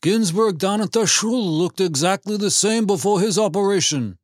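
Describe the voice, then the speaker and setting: A man's voice: high-pitched, normal speed, no echo, studio quality, pitched above the performer's own voice. A man voicing a grumbling, complacent old retired husband with a Yiddish-inflected American accent and a dismissive, roundabout way of talking, in a story reading. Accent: Yiddish-inflected American English.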